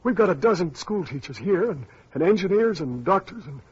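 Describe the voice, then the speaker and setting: An actor playing an ailing, earnest man who speaks haltingly in an old-time radio drama. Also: sits high, animated, slightly rough, full character voice. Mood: earnest, feverish. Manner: halting, repeats words, earnest. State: ill, weak. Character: ailing, earnest male survivor, feverish idealist about teaching. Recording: muffled lo-fi old radio recording.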